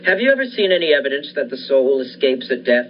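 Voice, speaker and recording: A man's voice: mid-range voice, very animated, fast talker, lightly acted. A young man playing an obsessed, commanding scientist and surgeon in the style of a 1940s radio thriller. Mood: insistent, clinical. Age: young adult. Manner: commanding, insistent. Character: obsessed scientist surgeon bent on reviving his dead wife. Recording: dull lo-fi audio, faint noise.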